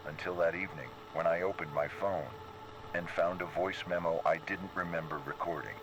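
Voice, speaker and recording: A man's voice: low-pitched, steady tone, a little fast. A man narrating a scripted first-person horror story. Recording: clear background noise.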